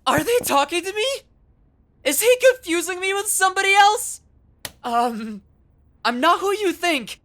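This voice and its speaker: A woman's voice: very high-pitched, measured pace, long pauses, heavily acted. A woman playing an earnest, easily flustered young rookie detective in an anime dub, blurting out thoughts. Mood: startled. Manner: excitable, thinks out loud, blurts things.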